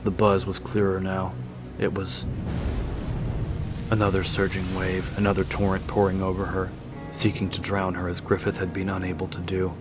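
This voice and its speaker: A man with a very low voice narrates a dramatic story as an audiobook. His pitch moves very little, staying nearly monotone. Music plays clearly behind his reading. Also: moderate pace.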